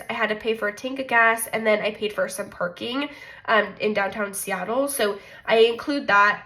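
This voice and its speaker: A woman's voice: medium pitch, pushed and bright. A young woman with a stuffed-up, nasal voice from a cold talks casually through her budget at home. She thinks aloud, drops frequent likes and shrugs off her own mistakes with easy self-deprecation. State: congested from a cold. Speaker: budgeting vlogger. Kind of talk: casual chat walking through a monthly budget.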